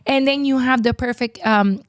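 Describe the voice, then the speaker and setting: Animated Cuban-accented woman podcaster. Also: sits high, normal speed, no room sound, studio quality. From Cuban-American. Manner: animated, switches into Spanish words, laughs often. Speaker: podcast co-host. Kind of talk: casual chat.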